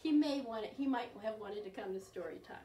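High-voiced older woman reading storytime, roomy sound. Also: a little fast, a little hiss or hum. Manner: animated, questioning, exaggerated gasps and reactions. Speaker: children's librarian, storytime host. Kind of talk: interactive picture-book storytime for young children. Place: living room at home, indoors. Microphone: camera a few feet away. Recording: roomy, distant from the microphone.

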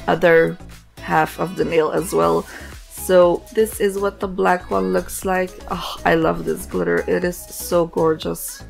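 Raspy, hoarse woman with a gravelly voice. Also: fairly low pitch. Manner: relaxed, chatty, many fillers. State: hoarse from a cold. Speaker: nail art tutorial host. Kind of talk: casual voice-over tutorial narration.

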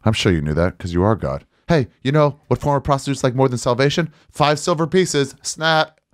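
A man's voice: medium pitch, lively intonation, a little fast, no echo. A man in his forties giving a casual comedic read with sarcastic, exasperated delivery, close on a studio mic. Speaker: guest comedian on a podcast. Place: small podcast studio, indoors. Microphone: close studio mic with headset.

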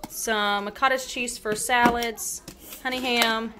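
Chatty mom narrating a noisy haul. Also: female voice, on the high side, steady tone, takes it slowly. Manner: chatty, many ums and likes, listing items. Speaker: vlogger. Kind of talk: casual grocery haul narration. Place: home kitchen, indoors.

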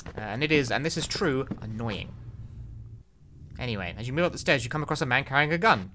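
A man's voice: medium pitch, lively intonation, a little fast, slight background noise. A young man giving casual live gameplay commentary, thinking aloud in a wry, sweary way. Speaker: streamer, speedrunner. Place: indoors, at a desk with webcam. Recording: loud game music behind. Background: video game music.

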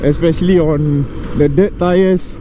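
A man giving casual vlog commentary as he rides a motorcycle outdoors, talking haltingly in phrases broken by pauses. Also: fairly high pitch, fairly even intonation. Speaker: motovlogger and rider. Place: outdoors, riding a motorcycle on roads. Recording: loud motorcycle engine and road noise. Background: motorcycle engine, traffic.

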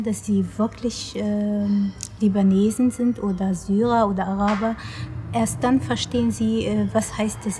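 A middle-aged woman speaks deliberately, often pausing to find the right words. Her pitch sits at a middle level. Faint outdoor ambience runs underneath. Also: dark tone, a little hiss or hum. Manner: measured, searching for words. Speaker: school director. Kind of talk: documentary interview. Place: outdoors in a park.

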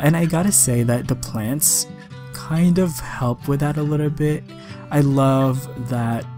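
A young man giving casual, chatty and enthusiastic voice-over commentary for a game video, with a slightly raspy voice. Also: mid-range voice. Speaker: gaming video creator. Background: guitar music.

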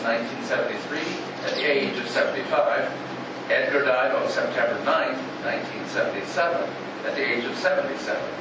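An older man reading a prepared script aloud as a narrator at a public talk. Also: mid-range voice. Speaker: speaker reading a script at a historical society event. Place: echoey space, away from the microphone. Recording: echoey, distant microphone, clear background noise.

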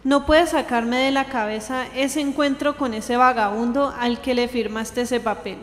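A young woman playing an earnest, anguished sister in an amateur radio drama, with a halting, plaintive delivery. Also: a little high, steady tone, natural voice. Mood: troubled, insistent. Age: young adult. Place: indoor green-screen studio. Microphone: handheld dynamic microphone shared close up. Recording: reverberant hall-like echo.

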